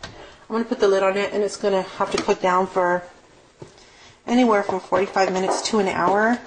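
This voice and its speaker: A woman's voice: mid-range voice, fairly even intonation, moderate pace. A woman giving a casual, rambling, unscripted cooking demonstration as a home cook in her kitchen. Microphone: handheld camera mic. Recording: noisy amateur camera audio with clicks.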